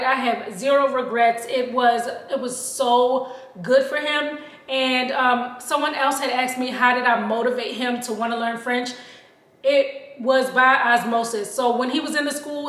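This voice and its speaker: A woman's voice: high-pitched, fairly bright tone, echoey room. A young American woman vlogging casually while she cooks at home, chatty and friendly. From United States. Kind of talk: casual vlog talk while cooking. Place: home kitchen.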